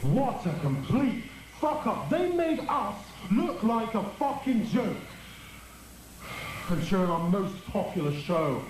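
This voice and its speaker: A man's voice: sits high, sing-song, takes it slowly, exaggerated voice. A man playing an angry, foul-mouthed station boss, sarcastic and berating, in a horror drama. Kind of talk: dramatic horror audio acting. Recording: very echoey, distant, faint noise.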